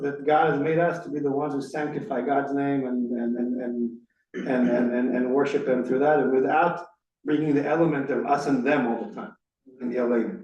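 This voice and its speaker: A man's voice: on the high side, darkish tone, dull audio. A man from Israel with an Israeli accent, a rabbi teaching his congregation in casual conversation, full of restarts and "right?" checks. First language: Hebrew. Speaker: rabbi leading a study session. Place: synagogue hall. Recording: echoey room, mic at a distance.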